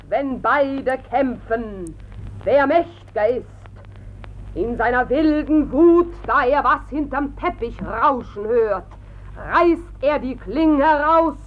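A woman's voice: high-pitched, highs cut off. A woman playing an imperious old stage actress, declaiming theatrically with emphatic diction in a 1950s radio play. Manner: theatrical, slow, emphatic enunciation.

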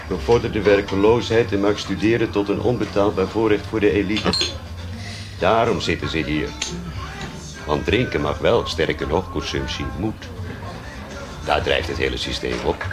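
An actor playing a talkative, cynical barman in a naturalistic radio drama, speaking in a hesitant, rambling way. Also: sits low. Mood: wry, ironic. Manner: hesitant, rambling, with self-corrections. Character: talkative café barman, cynical, fond of missing bandmate.

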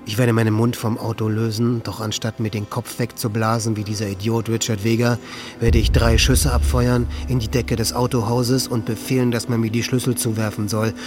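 An actor playing a weary, dreamy man slowly losing his mind, in a radio play. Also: medium pitch, flat delivery, over music, natural voice. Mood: dreamy, determined. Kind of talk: German radio play acting, interior monologue and dialogue.

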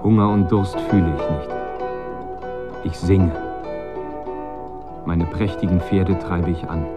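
Deep-voiced exultant charioteer, loud music. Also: male voice, steady tone, slow. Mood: exultant, solemn. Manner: declamatory, solemn verse recitation. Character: exultant charioteer reciting a ceremonial verse. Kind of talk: German radio drama acting.

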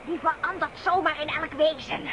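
Woman doing a grave bossy witch-hunter, super high pitched, radio drama, noisy old tape. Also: normal speed, lightly acted. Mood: urgent warning. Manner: commanding, grave, insistent. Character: stern, urgent witch-hunter called Wolf.

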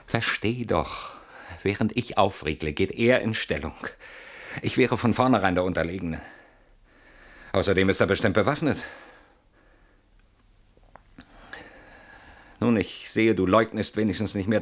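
Deep voice, anxious defensive husband, sardonic, agitated, dramatic pauses, classic radio drama. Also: male voice, fairly bright tone. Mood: tense, brooding. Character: anxious, defensive husband barricaded behind his door. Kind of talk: classic German radio play acting.

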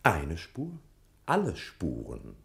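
A self-important American private detective in a radio play. He is smug and sly, and his pompous speech swings very widely in pitch. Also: wildly swooping pitch, slow pace, creaky, heavily acted. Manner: pompous, speaks of himself by name. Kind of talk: German radio play dialogue.

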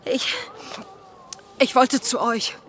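Headstrong, earnest young gentlewoman. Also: on the high side, takes it slowly, slight background noise. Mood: flustered. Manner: earnest, formal period speech. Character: headstrong, determined young gentlewoman planning elopement. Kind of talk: German radio drama acting.

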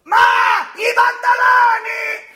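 A boastful frustrated southern italian dude, really high piercing bright voice, theatrical rants, radio drama, echoey room. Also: male voice, monotone, slow, some chatter behind, full character voice. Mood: grandiose shouting. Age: 30s. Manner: ranting, declamatory, often shouting. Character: frustrated, boastful man of thirty-three dreaming of projects. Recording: roomy stage-like echo.

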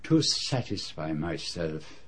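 An actor in a radio drama playing a shrewd, grave foreign detective who speaks formally and deliberately. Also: male voice, very deep voice, big pitch swings, noisy background, exaggerated voice. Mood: measured, firm. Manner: formal, deliberate phrasing without contractions.

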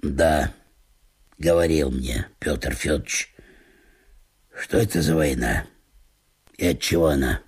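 Husky, grumpy provincial landowner. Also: male voice, very deep voice, slow pace, long pauses, pitched below the performer's own voice, full character voice. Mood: grumbling. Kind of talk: theatrical comic literary monologue.

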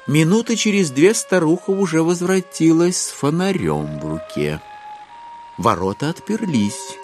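A wry storyteller narrates a classic novel with very lively, expressive intonation. It is polished literary narration, with faint music underneath. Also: male voice, fairly high pitch.

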